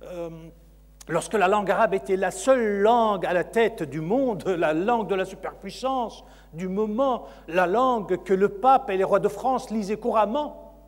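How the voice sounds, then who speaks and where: A man's voice: high-pitched, moderate pace. A man who is a university lecturer, answering audience questions at a conference, hesitant with many fillers and repeated words. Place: conference hall. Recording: long reverb of a lecture hall.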